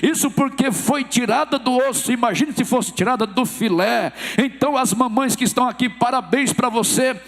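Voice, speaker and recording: A Brazilian pastor with a Minas Gerais accent, preaching a sermon in a church and telling stories with acted-out quoted voices and shouts of hallelujah. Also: male voice, very high voice, normal speed, hardly any pauses. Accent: Brazilian Portuguese, Minas Gerais. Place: church hall. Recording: long reverb of a church hall.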